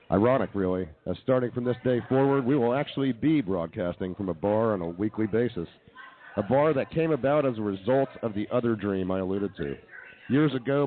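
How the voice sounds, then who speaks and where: A man with a medium-pitched voice hosts a live comedy talk show from a tasting room. His sound is narrow, like a phone line. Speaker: radio show host. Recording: thin, band-limited radio stream.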